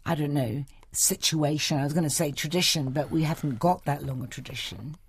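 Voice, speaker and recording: A woman's voice: low voice, fairly quick, breathy edge, no echo. A woman interviewing a guest on radio, asking probing questions in a conversational way.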